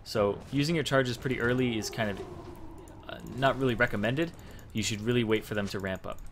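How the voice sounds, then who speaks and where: A man's voice: mid-range voice, brisk, noisy background. A young man giving casual, explanatory gameplay commentary, thinking aloud as he plays. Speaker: gamer making a strategy guide. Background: faint game music and sounds.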